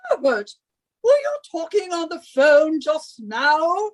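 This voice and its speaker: A woman's voice: sits high, big pitch swings, takes it slowly, exaggerated voice. A woman playing an imperious, henpecking wife in a radio suspense drama, nagging and scolding with theatrical emphasis. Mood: suspicious. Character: bossy henpecking British wife, shrill and imperious.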